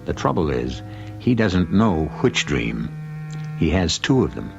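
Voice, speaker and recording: A man performing as a grave, wry storyteller of a radio mystery, narrating with measured, dramatic pauses. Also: rumbling low, sing-song, gravelly, exaggerated voice. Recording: muffled vintage radio recording, music behind.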